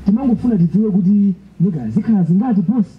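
An actor with a Malawian accent playing an eager, servile underling in a radio drama. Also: male voice, high-pitched, lively intonation, fast talker. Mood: apologetic, deferential. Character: eager, servile underling named Manzi reporting to his boss. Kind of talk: radio drama phone call. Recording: slightly dull, noisy radio play playback.

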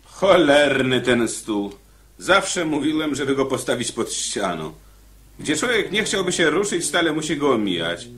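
An actor playing an irritable, stubborn convict in a radio drama, speaking in a gruff, exclamatory, grumbling way. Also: male voice, mid-range voice, sing-song, measured pace. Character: irritable, stubborn male convict with blunt principles.

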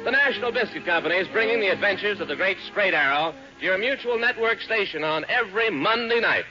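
A man's voice: high voice, lively intonation, fast talker, bright, forceful tone, faint music behind. A man with an American accent hosting a 1940s children's radio show as a hearty, folksy showman. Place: theater stage. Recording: dull, noisy old radio broadcast recording.

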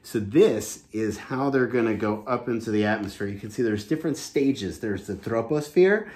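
A man's voice: fairly low pitch, normal speed, a little mellow. A man in his thirties reading a children's book aloud, explaining it simply and enthusiastically in a room at home. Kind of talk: animated read-aloud storytelling with explanations. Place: small home room indoors.

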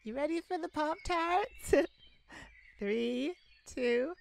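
A woman's voice: high-pitched, lively intonation, takes it slowly, heavily acted. A woman playing a silly, affectionate, giggly and bashful young boyfriend in an ASMR romance roleplay. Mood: gleeful. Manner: giggly, bashful, hesitant, gushing. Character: silly, playful, affectionate young boyfriend surprising his partner. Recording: clearly noisy, ambient sounds behind.

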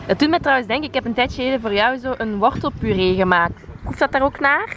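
A woman's voice: on the high side, quick pace, a crowd in the background. A young adult woman with a Flemish accent, chatting casually as a travel vlogger. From Belgium. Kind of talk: casual vlog chat. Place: car, restaurant terrace and outdoors on holiday. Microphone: handheld camera at arm's length.

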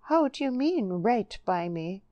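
Woman voicing a high-pitched, coy young country girl. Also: very animated, unhurried, dry recording, highs cut off. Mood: petulant. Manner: coaxing, coy.

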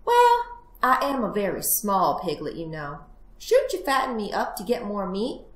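A woman's voice: medium pitch, wildly swooping pitch, normal speed. A woman voicing a small, wily male piglet in a storybook, squealing, coaxing and falsely meek. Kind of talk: storybook character voice. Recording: roomy, echoing sound.

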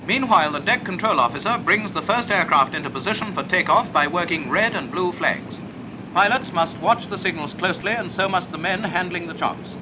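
British 1940s training film narrator, noisy soundtrack. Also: male voice, sits high, lively intonation, fairly quick, highs cut off.

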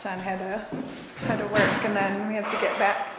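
A woman's voice: mid-range voice, level tone, moderate pace. A woman in her thirties chatting in an upbeat, casual way as she narrates a home renovation tour. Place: empty house rooms under renovation, indoors. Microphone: handheld camera held by speaker. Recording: echoey empty rooms, clear background noise.

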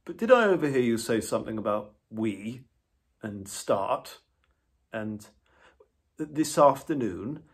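Stubborn dogged rat, a reader puts him on, husky and firm, storybook. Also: mid-range voice, very animated, measured pace, pauses often. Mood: doubtful. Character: stubborn steady male water rat. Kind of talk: storybook reading.

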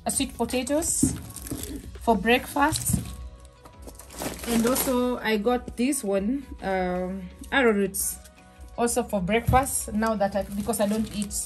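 A woman's voice: fairly high pitch, slow, poor audio. A woman chatting casually while she unpacks groceries in her small home kitchen. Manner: chatty, relaxed, restarts and fillers, soft laughs. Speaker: vlogger. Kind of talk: casual shop-with-me haul chat. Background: background music.

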